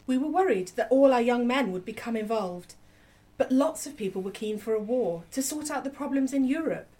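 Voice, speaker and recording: A woman's voice: fairly high pitch, animated, fairly quick, dark tone. A woman playing a worried wartime mother in a radio play, speaking plainly and with concern. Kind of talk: amateur radio play acting.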